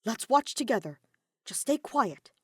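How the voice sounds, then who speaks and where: Female reader doing a young male robot, fretful, polite, protective, earnest, raised pitch, dry audiobook sound. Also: big pitch swings, measured pace, a bit bright, breathy edge, dry recording, full character voice. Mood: stubborn concern. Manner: formal, worrying, stubbornly protective.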